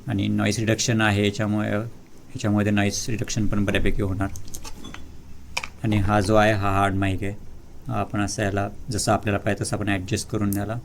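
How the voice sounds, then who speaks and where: A man from India gives a casual, unscripted product walkthrough, halting between short phrases. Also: on the low side, monotone, creaky, slight background noise. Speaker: vlogger presenting a product unboxing.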